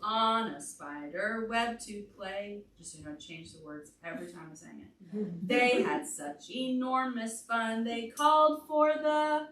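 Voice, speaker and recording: A woman reading aloud to young children as a storytime librarian, pausing to put questions to them. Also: fairly high pitch, a bit bright. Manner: asks the children questions along the way. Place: indoor library storytime room. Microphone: distant room microphone. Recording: echoey room, distant mic, faint noise.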